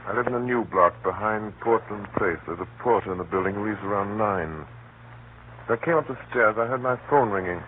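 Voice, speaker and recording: A man plays a shaken, urgent gentleman in an old radio thriller. His voice is on the deep side and his storytelling is dramatic and emphatic. It comes through a muffled, narrow-band 1930s recording with light hiss. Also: a little fast, lightly acted. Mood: grave narrating. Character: urgent, shaken gentleman recounting a deadly spy conspiracy.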